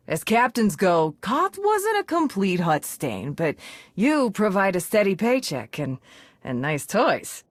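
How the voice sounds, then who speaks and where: A woman's voice: medium pitch, takes it slowly, no room sound. An actress playing a brash, sarcastic young female mercenary pilot in a video game. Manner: brash, sarcastic, lively delivery.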